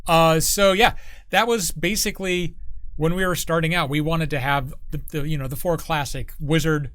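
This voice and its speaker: A man speaking as a guest game designer, explaining in an informal, halting, conversational way. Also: fairly high pitch, steady tone, fairly bright tone, dry recording. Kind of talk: casual explanatory chat.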